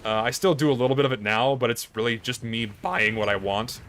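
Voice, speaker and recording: A hoarse, tired young man chatting casually on a live stream, rambling and blunt with plenty of swearing. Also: medium pitch, steady tone, a little fast, a bit bright, slight background noise.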